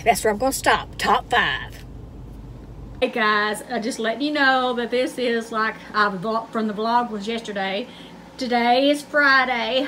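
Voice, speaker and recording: A woman's voice: fairly high pitch, fairly bright tone, rough recording. A woman, chatting to viewers in a casual vlog and calling them "y'all". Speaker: vlogger. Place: car interior, then at home. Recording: car noise behind voice.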